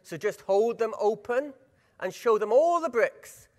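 A Welsh man in middle age presents a workshop at a conference, his voice sitting a little high. He speaks in a lively, engaging way and keeps asking the audience questions. His voice rings out with the long reverb of a large hall. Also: a little slow.

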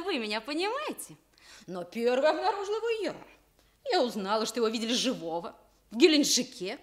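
An actress playing a gullible, theatrically lovelorn jilted woman, telling her woes with dramatic pauses in a radio comedy play. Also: high voice, fairly bright tone. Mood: agitated. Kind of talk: stage comedy acting for radio.

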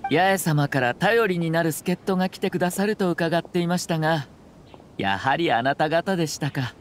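An actor playing a courteous, composed young nobleman in a Japanese anime game, speaking formally and with measured care. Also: on the high side, a little hiss or hum, natural voice. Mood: courteous greeting.

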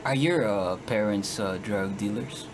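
A man in his twenties from the Philippines chatting with strangers on video and singing slow ballads to them. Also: fairly low pitch, background noise. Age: 20s. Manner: sings slow ballads to guitar, then flirts with quick questions. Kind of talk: casual video chat with sung serenades. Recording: loud guitar music behind the voice.

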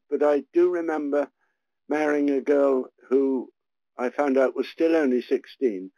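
An older man, a retired parish priest, telling gentle, relaxed stories from his ministry. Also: medium pitch, no room sound, muffled recording. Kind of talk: reflective storytelling.

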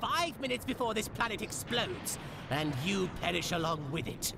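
A man's voice: high-pitched, animated, moderate pace, creaky, very noisy. A man voicing a haughty, petulant, androgynous alien tyrant in a theatrical, pompous cartoon parody style. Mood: flustered, irritated. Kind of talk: cartoon parody dub acting.

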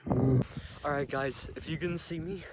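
A young man vlogging casually on a phone held at arm's length, joking and chattering quickly. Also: a little high, very noisy. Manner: goofy, jokey, hurried chatter. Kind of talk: casual vlog. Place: house rooms and outdoors at night.

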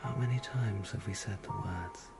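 Low, creaky ailing novelist. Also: male voice, normal speed, loud music behind the voice. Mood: wistful, despairing. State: weak and ill. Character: gravely ill failed novelist, grieving, wistful, self-doubting man. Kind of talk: radio drama acting.

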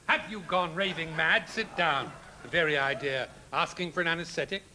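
A man's voice: on the high side, normal speed, bright, forceful tone. A middle-aged man playing the straight man in a stage comedy act, bossy and schoolmasterly as he explains. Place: large theatre stage with band behind. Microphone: stand mic and boom. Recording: live stage sound with audience noise.

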